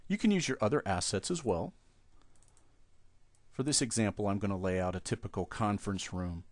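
Low-voiced guy doing a software screen tutorial, steady step by step, dry close sound. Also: brisk, no room sound. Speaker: software trainer. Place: at a computer, voice-over of screen.

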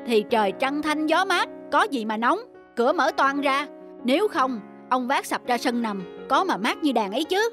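A woman voicing a poor young male farmer in an animated folk tale, easygoing, polite and plain-spoken. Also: sits high, normal speed. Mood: breezy, teasing. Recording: music under the voice.

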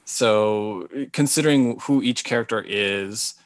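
A man's voice: fairly low pitch, slow pace, no room sound. A man in his thirties from Hawaii, answering interview questions thoughtfully over a video call, often restarting his phrases. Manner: thoughtful, careful, frequent restarts. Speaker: guest author. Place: small indoor room. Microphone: video call with earbuds.

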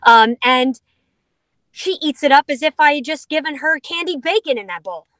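A woman's voice: sits high, moderate pace, fairly bright tone, dry recording. A middle-aged woman in a vehicle on a video call, enthusiastically explaining a dog food product with playful asides. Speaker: dog food company representative. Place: inside a vehicle.

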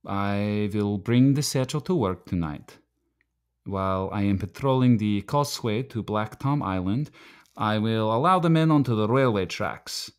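A desperate immigrant husband. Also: male voice, fairly low pitch, expressive, smooth. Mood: hushed, earnest. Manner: earnest, halting, pleading. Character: desperate, proud immigrant night watchman, husband pleading with wife. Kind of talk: radio play acting.

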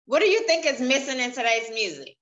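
Lively bright-voiced young woman host. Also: sits high, brisk, highs cut off. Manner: encouraging, asks questions, affirms often. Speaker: interview host. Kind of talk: casual interview. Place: indoors in a room. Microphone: video call.

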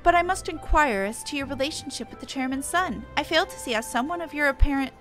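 A woman's voice: high-pitched, fast, a bit bright, soft background music. A woman voicing a haughty, officious company clerk with a formal, scolding manner.

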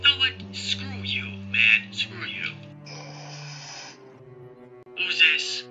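A man's voice: fairly high pitch, wildly swooping pitch, drawn-out words, heavily acted. A man voicing a cocky, goofy teenage prankster boy in a parody cartoon dub, mouthy and sarcastic. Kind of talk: fan abridged parody dub. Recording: muffled lo-fi mic with music.

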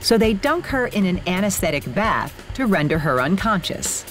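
A woman reading scripted documentary narration in a lively, playful way. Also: mid-range voice, normal speed. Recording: voice-over with music bed.

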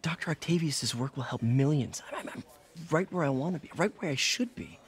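A man's voice: on the high side, expressive, brisk, husky. An actor playing an awkward, stammering young male scientist in a video game, nervous as he talks at a diner.

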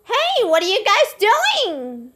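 A woman's voice: very high voice, wildly swooping pitch, takes it slowly, a bit bright, full character voice. A woman voicing a playful, curious cat-like creature in a children's show. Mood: curious, excited. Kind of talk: children's show character acting.

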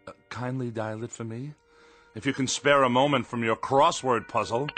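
An actor playing a haughty, indignant middle-aged misanthrope with ornate, theatrical diction in a radio drama. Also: male voice, medium pitch, steady tone, normal speed, a bit bright, faint music behind, close to an everyday voice. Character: pompous, irascible middle-aged misanthropic writer.

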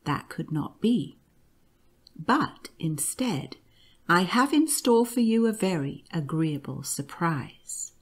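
An odd old man from a storybook, acted out by a woman, droll and cranky. The voice sits low and moves slowly, with a measured, peculiar delivery. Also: husky, full character voice. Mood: soothing. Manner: grating, monotonous chanting when reciting.

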